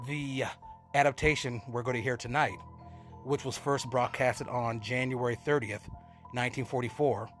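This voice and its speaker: A man's voice: medium pitch, a bit bright. A man hosting a show of old radio plays, giving a scripted introduction. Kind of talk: scripted narration.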